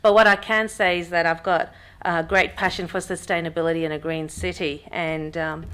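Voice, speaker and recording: Woman, 30s, slightly low voice, unscripted conference talk with many ums. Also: moderate pace, clean tone. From Pakistan. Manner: relaxed, frequent ums, restarts sentences. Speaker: workshop speaker. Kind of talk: business workshop talk. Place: conference room with audience.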